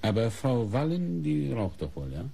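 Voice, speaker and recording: An actor playing a coolly suspicious police inspector in a German radio crime play, questioning in a measured, deliberate way with pointed pauses. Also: male voice, medium pitch, sing-song, gravelly, mellow, slightly rough, full character voice. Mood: suspicious, insistent.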